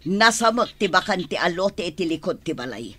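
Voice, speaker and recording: A woman acting a stern, scornful middle-aged mother-in-law in a radio drama, with a sharp, demanding manner. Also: lowish voice, big pitch swings, normal speed, slightly rough, full character voice.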